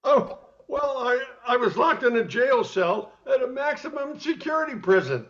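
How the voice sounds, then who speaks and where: A hapless, edgy fellow acted by a man in a noir radio comedy, with a very high voice that swings up and down. The audio is dull and muffled. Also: very animated, moderate pace, a bit bright, full character voice. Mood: flustered. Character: jittery man constantly on edge, hapless suspect.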